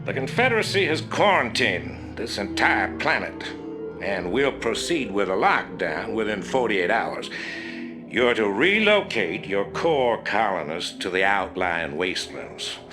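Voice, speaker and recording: A low, pompous general who lets his voice rise and fall as he hands down orders. He sounds dismissive, with game music playing under him. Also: very animated, a little slow. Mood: commanding. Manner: commanding, dismissive. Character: gruff male military general, condescending. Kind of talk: game cutscene voice acting.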